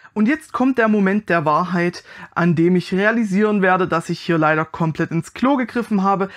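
A man giving casual, wry and critical commentary as he reviews an unboxing. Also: high voice.

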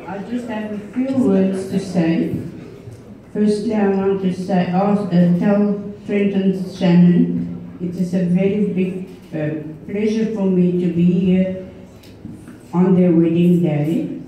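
An older woman from South Africa with a South African accent, English not her first language, giving a halting wedding speech as the grandmother. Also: mid-range voice, steady tone, warm tone, a little hiss or hum. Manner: halting, searching for words. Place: wedding reception hall. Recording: echoey hall from far off.

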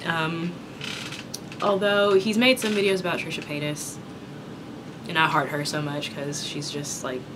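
A young woman's raspy, slightly unwell voice, pitched a little low and unhurried. She chats loosely at a desk camera, rambling with plenty of ums, and a faint hiss sits behind her in a small room. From Kentucky. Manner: relaxed, rambling, many ums. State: unwell, sore throat. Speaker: vlogger. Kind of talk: casual mukbang chat. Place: small indoor room with dark curtain backdrop.

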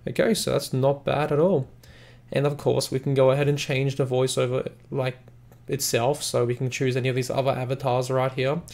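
A man hosting a casual software tutorial, explaining in a rambling, repetitive way. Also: mid-range voice, level tone, moderate pace, gravelly. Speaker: software reviewer, tutorial host. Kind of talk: casual screen-recording tutorial narration.

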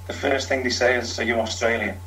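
A man's voice: medium pitch, fairly even intonation, a little fast, sharp tone, slight background noise, poor audio. Middle-aged man, Liverpool accent, frank storyteller, ex-footballer interviewee. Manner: frank, talks in long runs with fillers. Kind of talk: candid storytelling.

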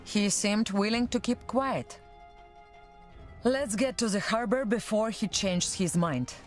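A woman's voice: medium pitch, takes it slowly, soft background music, natural voice. A woman playing a loyal, resolute military officer in a video game, speaking in an urgent, commanding, earnest way. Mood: measured. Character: loyal, resolute female military officer and former security chief. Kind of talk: video game cutscene acting.

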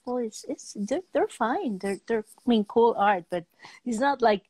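A middle-aged woman hosting a casual interview, speaking in halting, fragmentary phrases. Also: on the high side, expressive, darkish tone, slightly rough, dry recording. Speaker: talk show host. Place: indoor room, video call.

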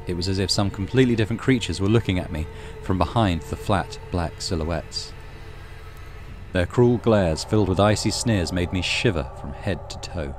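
Deep-voiced man narrating horror over music. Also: darkish tone. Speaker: gaming channel host reading visual novel. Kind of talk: dramatic scripted horror narration.

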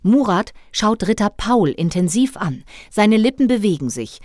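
A woman narrating a scripted children's audio play in a dramatic, expressive storybook manner. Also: mid-range voice, unhurried, no room sound, clean studio sound.

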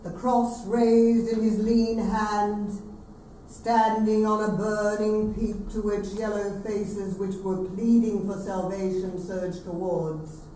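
A woman reading literary prose with deliberate phrasing, recorded from afar in a very echoey, noisy room. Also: medium pitch, flat delivery, warm tone. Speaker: reader at a staged reading. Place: salon with seated audience. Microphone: far camera. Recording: very echoey hall, distant mic, noisy.

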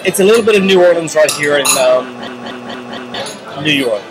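A man's voice: mid-range voice, a little slow, low-quality recording. A young man co-hosting a casual chat about a show, playful and chatty, filmed up close at a restaurant table. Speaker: co-host. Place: busy restaurant bar at night. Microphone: camera held close at the table. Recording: loud bar music behind.

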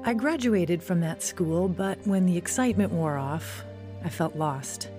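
A woman's voice: fairly low pitch, unhurried, music in the background, lightly acted. An actress playing a troubled, searching young woman in an audio drama, earnest and conversational. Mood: reflective. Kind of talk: audio drama narration and acting.

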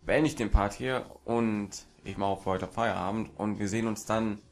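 A man's voice: lowish voice, steady tone, moderate pace. A man with a German accent gives casual let's play commentary as the host. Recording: music behind the voice. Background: video game music.

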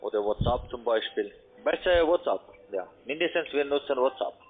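A man with a foreign accent in German, a non-native speaker giving instructions in halting, broken sentences on a phone call. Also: mid-range voice, expressive. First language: not German. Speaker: phone scam caller posing as payment agent. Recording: muffled narrowband phone line.

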